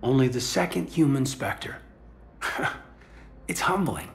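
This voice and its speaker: An actor playing an earnest, soft-spoken male soldier who has just been made an elite agent. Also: medium pitch, animated, takes it slowly. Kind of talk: video game voice acting.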